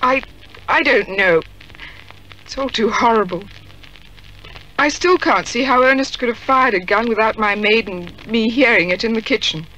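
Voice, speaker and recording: A woman's voice: fairly high pitch, normal speed, pauses often, bright, forceful tone, a little hiss or hum, poor audio. A woman playing a distressed, genteel widow in a 1950s radio drama, with a dramatic, anguished manner.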